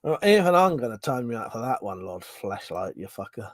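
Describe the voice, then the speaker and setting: A man's voice: mid-range voice, brisk, no echo, studio quality. A man in his forties chatting casually on a live stream, reading out comments, swearing and laughing as he talks. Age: 40s. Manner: swearing, laughing, animated banter. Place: home room.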